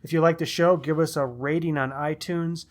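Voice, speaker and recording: A man's voice: a little high, steady tone, moderate pace. A man co-hosting a book podcast, talking in a casual, chatty style. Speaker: podcast co-host.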